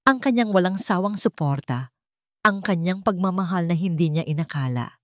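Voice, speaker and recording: A woman narrates a scripted romantic drama in a fairly low voice. She tells the story in measured, short phrases. The sound is dry, with very little room in it. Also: lively intonation, no echo.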